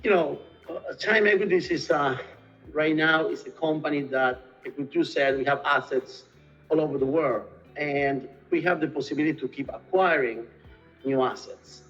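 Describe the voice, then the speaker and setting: A man's voice: on the high side, normal speed, a bit bright, slightly rough, lo-fi sound, faint music behind. A man from Argentina with an Argentine Spanish accent, answering interview questions hesitantly with many fillers and restarts. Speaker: real estate sales and marketing director.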